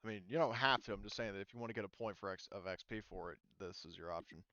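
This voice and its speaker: Smooth-voiced man explaining game rules. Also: on the low side, quick pace, pushed and bright, dry recording, lo-fi sound. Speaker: game master.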